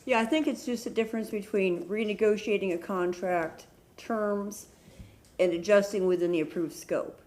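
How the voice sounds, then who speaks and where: A woman from city staff answering a council question in a measured, explanatory way. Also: mid-range voice, darkish tone.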